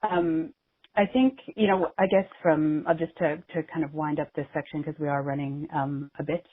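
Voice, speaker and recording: A woman's voice: deep voice, fairly even intonation, moderate pace, breathy edge. A woman moderating a business conference call, talking informally and thinking aloud as she goes. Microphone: telephone. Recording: muffled narrowband teleconference phone line.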